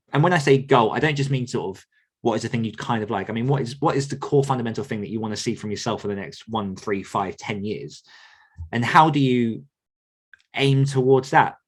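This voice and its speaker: A man's voice: mid-range voice, normal speed. A man answering questions conversationally as an author coach, reflective and earnest, often circling back to rephrase himself.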